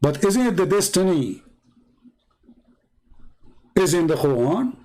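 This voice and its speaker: A man's voice: high voice, very animated, slow pace, darkish tone. Arabic-accented man, sarcastic debater. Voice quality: broken, non-native grammar. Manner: argumentative, sarcastic rhetorical questions. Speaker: religious debate commentator. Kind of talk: polemical commentary.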